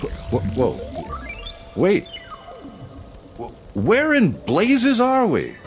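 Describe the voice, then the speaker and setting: An actor playing a pompous, excitable ghost of an old-time explosives salesman, narrating an audio musical in grandiose, old-fashioned language. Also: a little high, heavily acted. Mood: flustered.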